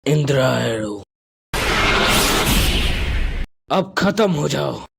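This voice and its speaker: A man's voice: on the high side, animated, unhurried, creaky, heavy background noise, heavily acted. A man playing a cold, determined young ninja rival in a fan-dubbed anime, with a dramatic, menacing delivery. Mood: fierce attack.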